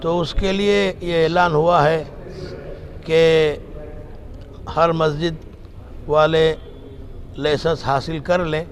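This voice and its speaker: A man makes a formal public announcement as a religious leader, in measured, halting short phrases. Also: fairly high pitch, fairly even intonation.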